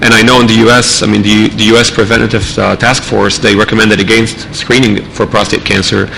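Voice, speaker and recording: Male professor on a panel, low flat gravelly voice with a bright edge, fluent and reasoned. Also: brisk, a little hiss or hum, rough recording. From Lebanon and the United States. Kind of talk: conference panel discussion.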